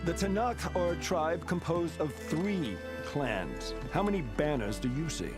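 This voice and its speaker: An actor playing a haughty, rule-bound military commander in a video game, stern and dismissive. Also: male voice, fairly high pitch, lively intonation, a little slow. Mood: disdainful. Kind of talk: video game cutscene acting. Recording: music behind the voice.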